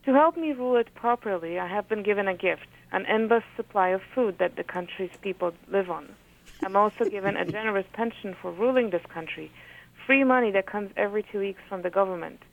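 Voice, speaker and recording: A woman whose first language is Polish, an author reading from her book and talking thoughtfully with many pauses. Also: mid-range voice, vocal fry. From Poland. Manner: thoughtful, hesitant with frequent ums. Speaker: author, guest. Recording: phone-like radio audio.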